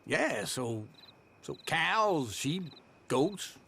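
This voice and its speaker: Gravelly, animated old frontier codger. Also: male voice, fairly high pitch, extremely slow, a little hiss or hum, exaggerated voice. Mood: scolding. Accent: American. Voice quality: gravelly, sharp. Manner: scolding, folksy, exasperated. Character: cantankerous, lazy, mouthy old frontier codger. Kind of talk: video game drama acting.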